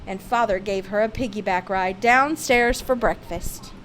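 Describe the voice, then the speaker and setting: A woman reading a children's picture book aloud, with expressive, emphatic phrasing. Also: mid-range voice, measured pace, slight background noise. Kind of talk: read-aloud children's storybook narration.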